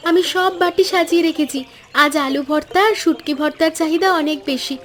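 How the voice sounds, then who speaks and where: Very high-pitched eager village girl, played by a woman. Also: brisk, a bit bright. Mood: busy. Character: eager, caring young village daughter helping at family stall. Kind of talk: animated story dialogue. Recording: voice over background music.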